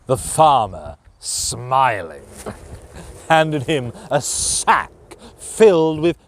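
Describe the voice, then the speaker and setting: A man narrating a children's fairytale as a storyteller, with theatrical, deliberate phrasing. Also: mid-range voice, very animated, slight background noise.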